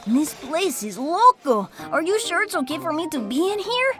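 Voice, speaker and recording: A timid, sweet little boy from a cartoon, played by a woman, speaks earnestly and drops in Spanish words. The voice is high and childlike, over soft music. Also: very animated, normal speed. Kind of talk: animated film voice acting.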